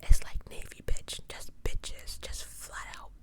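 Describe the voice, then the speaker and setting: A woman whispering true-crime ASMR, reading and adding opinionated, slangy, conversational asides as she goes. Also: takes it slowly. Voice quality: pure unvoiced whisper. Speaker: ASMR host.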